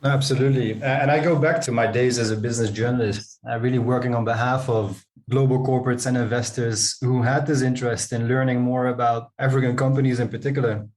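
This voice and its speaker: A man speaks as an interview guest. His mid-range voice stays quite flat in pitch. He talks conversationally, hesitating and doubling back on words as he explains. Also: fast talker, hardly any pauses, rough recording. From Netherlands. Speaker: guest, company co-founder and CEO.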